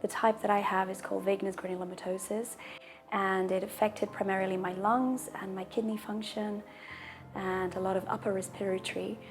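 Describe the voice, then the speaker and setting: A woman in her thirties, a native Portuguese speaker, telling her personal story in a calm, measured way, with a husky voice and deep breaths between sentences. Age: 30s. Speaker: interviewee sharing a personal story. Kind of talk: personal testimonial.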